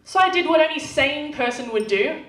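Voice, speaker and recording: A young woman with a strong Australian accent and a nasal twang delivers sweary stand-up comedy in a fairly high voice. She is heard from a distance in an echoey club with noise behind her. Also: lively intonation, moderate pace.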